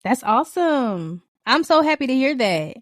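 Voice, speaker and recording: A woman's voice: high-pitched, very animated, moderate pace, no room sound. A woman hosting an interview podcast, encouraging and upbeat as she affirms her guest and asks her next question. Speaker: podcast host.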